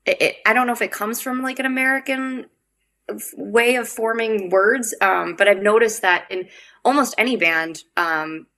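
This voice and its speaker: A woman's voice: on the high side, normal speed, a bit bright. A young adult woman singer with a Wisconsin accent, chatting casually as a podcast guest, laughing often and acting out quotes.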